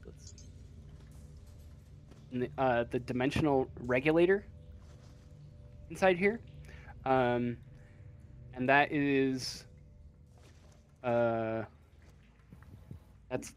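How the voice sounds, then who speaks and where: Guy running a tabletop rpg, slow and hesitant, mid pitched voice, feeling out the narration. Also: clear, resonant tone. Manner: halting, hesitant, searching for words. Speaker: game master. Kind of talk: improvised tabletop game narration.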